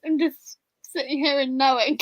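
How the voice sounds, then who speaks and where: High-voiced woman in a book chat. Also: steady tone, moderate pace, slight background noise, poor audio. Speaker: book readalong panellist. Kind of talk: casual book discussion, live.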